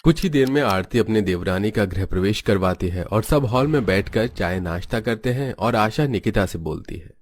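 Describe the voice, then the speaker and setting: A man's voice: on the low side, expressive, normal speed, few pauses. A man narrating a scripted family cartoon story.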